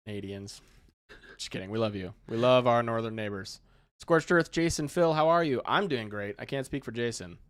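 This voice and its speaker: A man's voice: mid-range voice, normal speed. A man in his thirties co-hosting a hobby livestream, reading viewer comments aloud and teasing his co-hosts in casual chat. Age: 30s. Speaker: co-host of a hobby paint livestream.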